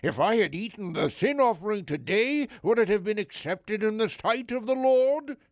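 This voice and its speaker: A grieving biblical priest, pleading earnestly in an audio drama. The voice is high for a man and recorded dry, with a formal scriptural delivery. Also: animated, moderate pace, no room sound. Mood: pleading, distressed. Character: Aaron, grieving priest pleading his case. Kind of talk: dramatized Bible audio acting.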